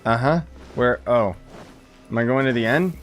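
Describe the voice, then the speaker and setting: A man's voice: mid-range voice, moderate pace. Casual man streaming, music behind. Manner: informal, slangy, exclaims and chuckles while playing. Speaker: gaming streamer. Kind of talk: casual live gameplay commentary with chat.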